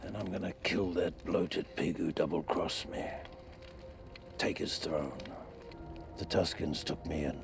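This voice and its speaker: An actor playing a gruff, vengeful older bounty hunter with a gravelly voice in a science fiction drama. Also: male voice, low-pitched, steady tone, unhurried, music in the background. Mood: grim resolve.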